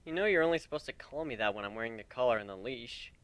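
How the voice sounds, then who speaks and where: A man voicing a gentle, loyal pony husband, quiet and soft-spoken, in a dramatic fan fiction reading. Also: mid-range voice, animated, fast, no echo, lightly acted. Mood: fond, resigned.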